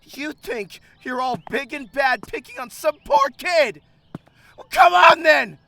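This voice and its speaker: A man performs an intense young man in a dramatized fiction podcast. He is defiant and fiercely protective, with a forceful, gritty voice that swings widely in pitch. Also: very animated, slow pace, creaky, heavily acted. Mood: furious, defiant. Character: furious, protective young man challenging a demon. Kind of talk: dramatized audio fiction acting.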